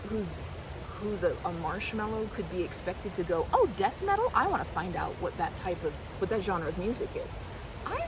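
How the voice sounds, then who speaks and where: Woman rambling with very lively pitch. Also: noisy background. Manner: rambling, halting, repeats herself, earnest. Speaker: ASMR roleplay performer. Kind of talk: rambling, chatty ASMR roleplay as a best friend. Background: riverside park ambience.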